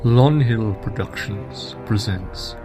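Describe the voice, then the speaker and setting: An actor playing a solemn, ominous narrator introducing a fantasy audio drama. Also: male voice, lowish voice, slow pace, music in the background.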